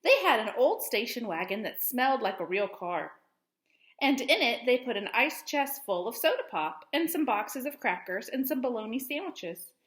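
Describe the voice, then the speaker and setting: A woman teacher reading a storybook aloud to children with expressive, deliberate storytelling phrasing. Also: fairly high pitch, reverberant.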